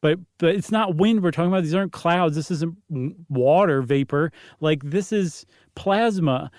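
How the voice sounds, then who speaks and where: Man with a higher-pitched voice, chatting science. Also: normal speed, darkish tone, no room sound, clean studio sound. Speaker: podcast co-host. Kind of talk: casual explanatory science chat.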